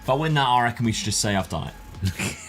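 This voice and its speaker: A man's voice: medium pitch, lively intonation, fast, music in the background. A man hosting a football management game video in an informal, conversational way. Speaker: gaming video host. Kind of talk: scripted narration and casual chat over a football management game.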